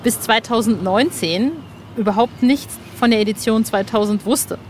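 Woman host, kinda high voice, chatty and excited, little street noise in back. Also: moderate pace. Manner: chatty, enthusiastic storytelling, many restarts. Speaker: podcast host. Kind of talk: casual interview chat.

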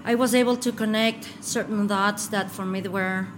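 A woman's voice: mid-range voice, steady tone, moderate pace. A middle-aged woman from Ecuador, a tour guide talking earnestly in an interview and often repeating and restarting her words. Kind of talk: casual interview. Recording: noticeable background noise.

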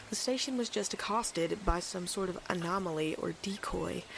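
Creaky, flustered woman radio host, acted. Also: mid-range voice, noisy background. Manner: hesitant, rambling, informal. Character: flustered female late-night radio host in supernatural post-apocalypse. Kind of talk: audio drama acting, casual radio banter.

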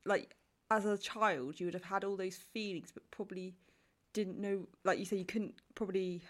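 A woman's voice: mid-range voice, fairly even intonation, moderate pace. A young woman hosting her podcast, bubbly and effusive, often restarting her sentences. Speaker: podcast host. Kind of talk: casual interview chat.

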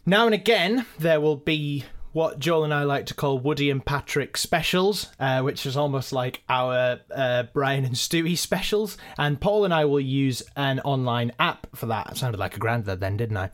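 A man's voice: medium pitch, moderate pace, few pauses. Man chatting through behind-the-scenes production. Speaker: podcast co-creator, editor and voice actor. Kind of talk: informal behind-the-scenes explanation.

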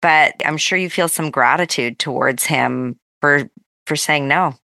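A woman's voice: low-pitched, a little slow, gravelly, sharp tone, no echo, studio quality. A woman hosting a podcast interview, affirming and enthusiastic, often murmuring agreement. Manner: affirming, enthusiastic, frequent back-channel. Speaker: podcast host. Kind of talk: conversational interview.

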